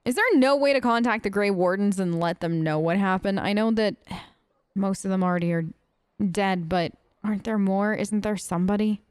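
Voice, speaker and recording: A woman's voice: mid-range voice, moderate pace, clean tone, no echo. A woman hosting a role-play let's play, improvising conversationally in character at a close microphone. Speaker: gaming host.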